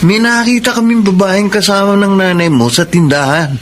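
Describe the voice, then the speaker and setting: A Filipino actor playing a curious, friendly young neighbourhood pal in a radio drama, chatty in manner. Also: male voice, high voice, moderate pace, background noise, close to an everyday voice.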